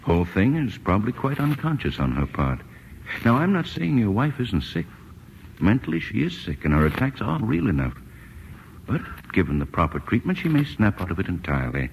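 An actor playing a calm, authoritative physician, measured and professional in 1940s radio style. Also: male voice, lowish voice, vocal fry. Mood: matter-of-fact. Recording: faintly noisy old radio recording.

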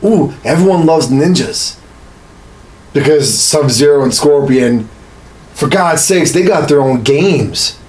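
A man's voice: on the high side, animated, slow pace, a little mellow. A man in his thirties chatting casually and insistently as a co-host, swearing freely and arguing his point. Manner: foul-mouthed, insistent, argumentative. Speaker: podcast co-host. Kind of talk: casual chat about video games. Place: small indoor home studio room. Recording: roomy, echoing small room.

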